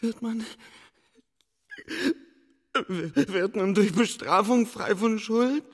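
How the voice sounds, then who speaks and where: An actor playing a frightened, broken boy king in a radio play, halting, pleading and repeating himself obsessively. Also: high voice, exaggerated voice. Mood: desperate praying. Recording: long reverberant hall.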